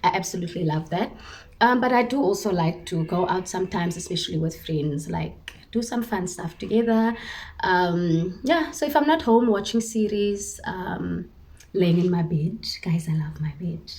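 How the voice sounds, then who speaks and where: A woman's voice: fairly low pitch, animated, normal speed, darkish tone. A talkative young woman in her twenties from Cape Town, with a Cape Town South African accent and Afrikaans as her first language. She chats casually to the camera at home, in a self-mocking way, laughing often and sometimes slipping into a whisper. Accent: Cape Town South African English. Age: 20s. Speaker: vlogger, primary school teacher.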